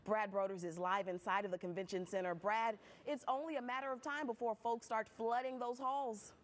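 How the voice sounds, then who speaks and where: A woman reading scripted news from a TV studio as the anchor, polished and clear on a lapel mic. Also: fairly high pitch, fairly even intonation, brisk, a little hiss or hum.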